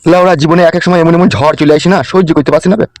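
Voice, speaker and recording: গ্রামবাংলার টানে একজন পুরুষ কার্টুনে এক বদরাগী, মুখখারাপ, দাদাগিরি-করা নেতার গলা দিচ্ছে।. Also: male voice, on the high side, steady tone, very fast, no echo, close to an everyday voice. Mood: furious. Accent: rural West Bengali. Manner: threatening, domineering. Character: bullying, hot-tempered politician boss, manipulative and crude. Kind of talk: amateur comedy cartoon dub.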